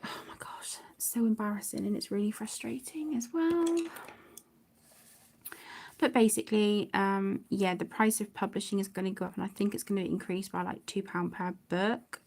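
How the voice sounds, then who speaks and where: A middle-aged woman chatting casually on a livestream, affectionate and apologetic, with phrases that trail off softly. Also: mid-range voice, normal speed, creaky. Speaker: livestream host of an adult colouring channel. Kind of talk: casual livestream chat while colouring.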